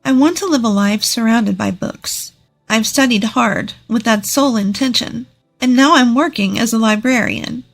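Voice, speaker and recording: A woman narrating a scripted first-person story. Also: fairly high pitch.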